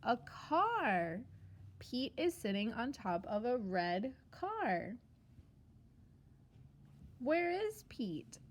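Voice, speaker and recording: A woman preschool teacher reading a picture book aloud to young children in simple, sing-song phrases, pausing after questions. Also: on the high side, sing-song. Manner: simple short phrases with deliberate pauses for answers.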